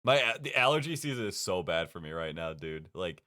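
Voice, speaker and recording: A man co-hosting a casual podcast chat, sniffling from a runny nose and talking in an animated way. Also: sits low, a little fast. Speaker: podcast co-host.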